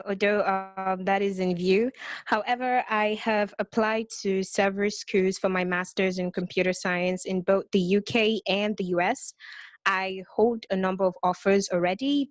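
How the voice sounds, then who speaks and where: Brief: apologetic woman from Nigeria, mid pitch, nervous, dry close sound over a video call. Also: fairly even intonation, unhurried, no room sound. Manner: soft-spoken, apologetic, nervous. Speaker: applicant for a master's degree. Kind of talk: self-introduction on a video call.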